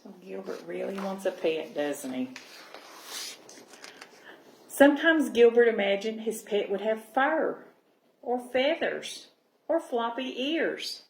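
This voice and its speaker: A woman reading a picture book aloud to young children as a library storyteller, in an expressive manner. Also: medium pitch, vocal fry. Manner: expressive, pausing to check understanding with listeners. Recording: roomy, echoing sound.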